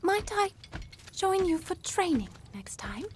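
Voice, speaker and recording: An actress playing a shy, polite young warrior in a video game, soft and courteous. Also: female voice, very high-pitched, big pitch swings, slow, slight background noise, exaggerated voice. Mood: polite, earnest. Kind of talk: video game cutscene acting.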